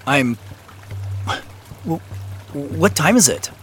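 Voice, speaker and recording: A man's voice: a little high, very animated, slow, fairly bright tone, faint music behind, heavily acted. A man acting in an audio drama as a wry everyman searcher, muttering to himself in a hesitant, informal way. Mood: flustered, alarmed. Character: Joseph, wry everyman searcher puzzling over clues.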